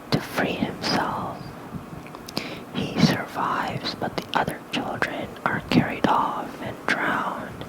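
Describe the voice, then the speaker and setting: A person reading aloud in a soft, breathy, gentle whisper for ASMR, close to a fluffy microphone. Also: poor audio. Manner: slow, gentle, hushed reading. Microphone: close microphone with fluffy windscreen.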